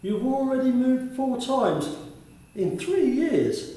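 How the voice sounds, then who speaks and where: A man acts out a courteous, chatty male school attendance officer in a radio play. His voice sits fairly high for a man and carries a kindly concern. It is captured at a distance in a very echoey room. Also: big pitch swings, slow pace, mellow, heavily acted. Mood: sympathetic. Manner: courteous, formal address, talkative.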